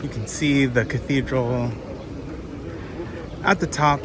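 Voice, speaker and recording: Man, travel vlogger, slightly hoarse, casual rambling, handheld on the street, clear traffic noise. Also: mid-range voice, fairly even intonation, slow. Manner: rambling, thinking aloud with many fillers. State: slightly hoarse, coming down with a cold. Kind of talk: casual walking tour commentary. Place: outdoors on city streets.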